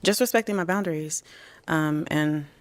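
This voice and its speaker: A woman on a dating show speaking calmly and reflectively. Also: low voice, normal speed, no room sound. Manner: calm, steady, reflective.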